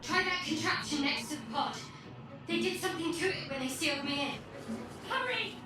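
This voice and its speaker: Desperate female captive, urgent pleading, shrill height, strongly echoing, music behind, video game. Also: lively intonation, normal speed. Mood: panicked pleading. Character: desperate young woman trapped in a pod. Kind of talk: video game character acting. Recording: very echoey, distant from the mic.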